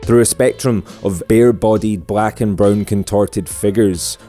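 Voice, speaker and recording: A man's voice: sits low, dark tone. A man reading a scripted podcast introduction as its host. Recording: music bed under voice.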